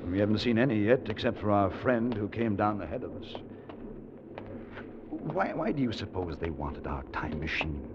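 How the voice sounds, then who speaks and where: An actor in an old radio drama playing a tense, haunted adventurer telling of his expedition, dramatic and at times halting. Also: fairly low pitch, lively intonation, background noise. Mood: nervous, hushed. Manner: dramatic, hesitant and halting in dialogue. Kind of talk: 1940s-50s radio drama narration and acting. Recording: dull old radio recording.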